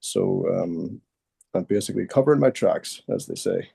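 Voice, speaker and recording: A man's voice: low voice, lively intonation, moderate pace, creaky, dark tone, husky. A man guesting as a musician, laid-back and easygoing, trading banter. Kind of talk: casual interview chat.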